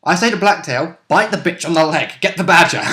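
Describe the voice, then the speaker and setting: A man's voice: a little high, a bit bright. A man who plays in a tabletop roleplay game, chatting casually in a blunt, jokey, sweary manner. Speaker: tabletop roleplay player and co-host. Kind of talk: casual chat.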